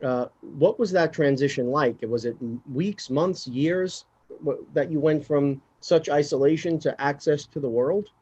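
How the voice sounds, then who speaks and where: A man hosting a podcast interview in a conversational style, phrasing his questions in a halting, thoughtful way. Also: a little high, a little mellow, breathy edge, muffled recording. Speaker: podcast host.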